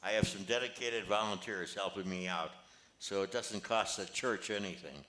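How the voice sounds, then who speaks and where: Older man, low voice, genial modest grandfather as a man reads him in a play, plain delivery, echoey. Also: moderate pace, background noise, lightly acted. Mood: matter-of-fact. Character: genial, modest grandfather who organizes charity work. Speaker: amateur actor reading a play. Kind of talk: scripted play reading. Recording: echoey, roomy amateur recording.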